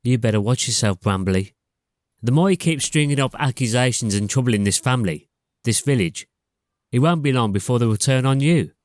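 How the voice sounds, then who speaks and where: A man's voice: mid-range voice, lively intonation, brisk, no room sound, natural voice. Man acting surly pub landlord, defensive and menacing, mid voice, dry sound, audio drama. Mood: threatening. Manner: defensive, forceful.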